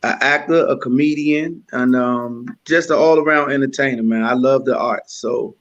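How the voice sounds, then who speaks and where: A man's voice: mid-range voice, moderate pace, fairly bright tone, lo-fi sound. A middle-aged or older man with a Washington DC American accent, a comedian guest joking casually in slangy, wisecracking talk. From Washington DC, United States.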